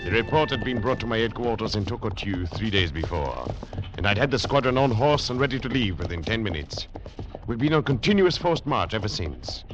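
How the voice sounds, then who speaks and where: Old 1940s radio show, music behind, a stern deep-ish legion major, dramatic and uneasy, dull lo-fi sound. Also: male voice, very animated, a little fast. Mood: grave, suspenseful. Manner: dramatic, military, tense storytelling. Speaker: narrator and lead character.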